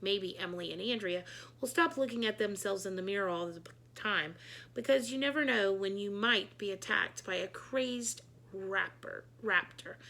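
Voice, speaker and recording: Female storyteller for kids' read-aloud: expressive, forceful bright tone, creaky. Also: mid-range voice. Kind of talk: expressive children's book narration.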